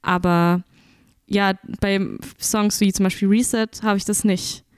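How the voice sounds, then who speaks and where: Smooth-voiced young woman, thoughtful guest. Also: medium pitch, fairly even intonation, measured pace, a little mellow, dry recording, clean studio sound. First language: Italian and German, bilingual. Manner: thoughtful, hesitant, searching for words. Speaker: musician guest. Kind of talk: casual podcast interview.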